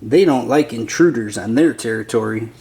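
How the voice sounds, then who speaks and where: A man's voice: mid-range voice, moderate pace, a little mellow, slight background noise. A man reading a children's picture book aloud as a scripted narrator.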